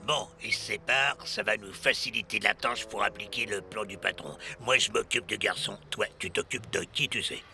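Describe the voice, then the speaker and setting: A very sharp, forceful, gravelly voice for a sly cartoon henchman. He is smarmy and conspiratorial, with faint music behind. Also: lowish voice, normal speed, few pauses, full character voice. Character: sly male henchman posing as a boxing trainer. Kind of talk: French animated cartoon acting.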